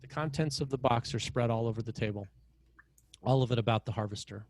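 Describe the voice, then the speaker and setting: A man's voice: mid-range voice, brisk, darkish tone, slight background noise. A man reading scene headings and stage directions as the narrator of a screenplay table read.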